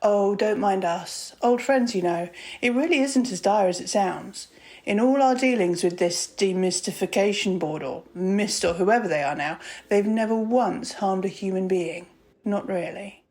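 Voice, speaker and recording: A woman acts out a composed woman doctor in an audio drama. Her voice sits at a middle pitch, and her phrasing is proper and measured. She comes across as caring, with a wry, teasing edge. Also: a little mellow, lightly acted. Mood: wry, calm.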